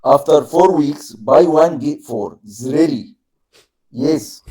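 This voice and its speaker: A man, an Arabic speaker learning English, who talks haltingly, searches for words and uses simple grammar in casual conversation practice. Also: fairly high pitch, dark tone.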